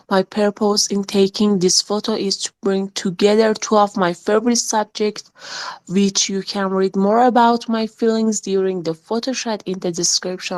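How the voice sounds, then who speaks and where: A woman in her twenties from Iran, speaking hesitant English with an Iranian accent as she presents her work as a guest, mostly from a prepared text. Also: mid-range voice. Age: 20s. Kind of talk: reading a prepared text aloud.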